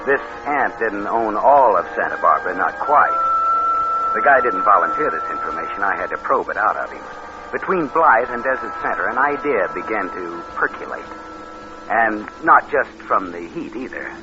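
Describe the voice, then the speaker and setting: An actor playing a scheming young drifter, sly and lazy, in a mid-century radio crime drama. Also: male voice, on the low side, big pitch swings, normal speed, loud music behind the voice. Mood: sly narrating. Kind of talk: 1950s radio crime drama, first-person narration. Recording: muffled old radio recording.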